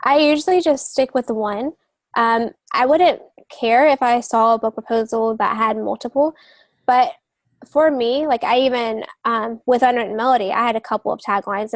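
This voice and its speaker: A woman chats on a podcast in a fairly high voice with clear vocal fry. She gives advice informally, in loosely phrased thoughts. The recording is dry and close-sounding. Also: fairly even intonation, normal speed, dry recording. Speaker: literary agent and novelist giving advice. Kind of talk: casual podcast chat.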